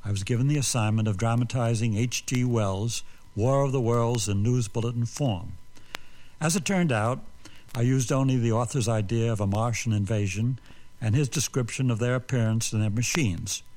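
A middle-aged or older man giving a formal, measured scripted introduction, looking back as a radio dramatist. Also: medium pitch, a little mellow. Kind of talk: scripted narration, reminiscence.

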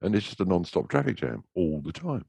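A man's voice: low voice, sing-song, fairly quick, vocal fry, mellow, slightly rough, dry recording. An English man, a musician, chatting casually as an interview guest, rambling through anecdotes with lots of restarts. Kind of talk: casual chat.

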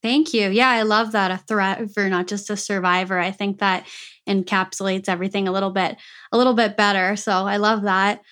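A woman's voice: medium pitch, level tone, fairly quick, clean tone. A woman hosting a podcast interview, reading a scripted introduction and then chatting upbeat with her guest. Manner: upbeat, warm thanking, reads a content warning. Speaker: podcast host.

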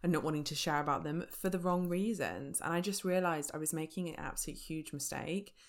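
A woman talks chattily to her podcast listeners. She laughs often, leans on fillers, and sounds slightly croaky from a sore throat. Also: low voice, a little fast. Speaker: podcast host. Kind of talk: casual solo podcast talk.

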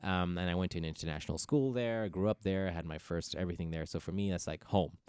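A man in his thirties who grew up in Ethiopia tells his story as a podcast guest. His voice sits very low and comes through smooth and resonant. The sound is close and dry, and he keeps the story animated and laughs often. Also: fast talker, no room sound. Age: 30s. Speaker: guest comedian. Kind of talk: casual interview chat.

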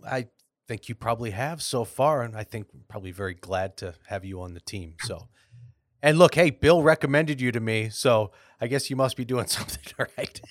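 A man's voice: mid-range voice, normal speed, no echo, studio quality. A man hosting a company podcast, asking friendly, rambling questions and laughing easily. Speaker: podcast host. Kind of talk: conversational interview.

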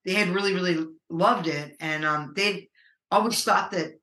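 A middle-aged American woman with a low voice, a musician talking casually in an interview, rambling and hesitant with many fillers. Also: moderate pace, sharp tone, echoey room. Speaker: musician guest.

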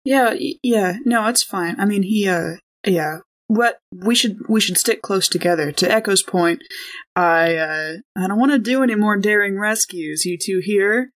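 A woman's voice: mid-range voice, moderate pace. A woman playing a tabletop game, talking through options in an informal, hedging, conversational way. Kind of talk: casual in-character tabletop discussion.

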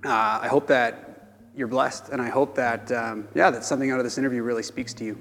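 Male host speaking sincerely to listeners, halting delivery, reverberant space. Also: mid-range voice, fairly even intonation. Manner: earnest, hesitant, warm invitation. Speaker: podcast host. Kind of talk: spoken address to listeners. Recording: long room reverb.

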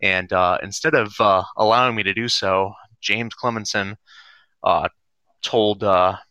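A man in his twenties tells his story in a halting way, full of fillers. His voice is low yet bright and forceful. The call audio is dry and dull. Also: steady tone, dry recording. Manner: halting, many fillers and restarts. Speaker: former student guest. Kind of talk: casual personal account.

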